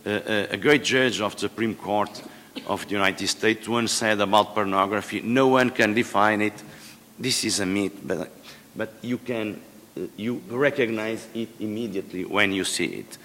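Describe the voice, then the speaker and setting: Low-voiced man in a reverberant hall. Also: a bit bright. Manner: hesitant, many fillers and restarts. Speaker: panel expert. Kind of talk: conference panel remarks. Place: large conference hall. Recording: long-reverb conference hall.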